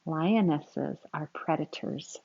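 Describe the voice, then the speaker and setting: A woman with a slightly low voice reads a children's picture book aloud at an unhurried pace. She is expressive and teacherly, addressing her young listeners directly. The recording is a little dull and lo-fi. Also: gravelly, slightly rough. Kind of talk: read-aloud storytelling with asides to young listeners.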